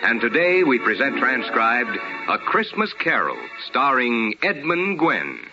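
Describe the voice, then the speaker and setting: A man's voice: mid-range voice, big pitch swings, a little slow. An American man announcing and hosting in formal, polished, scripted 1950s radio style with emphatic delivery. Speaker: radio host and sponsor announcer. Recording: dull old radio broadcast with music.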